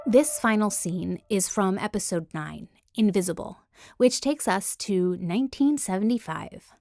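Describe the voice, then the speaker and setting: A woman's voice: medium pitch, measured pace, darkish tone, soft background music. A woman reading scripted narration as a podcast presenter.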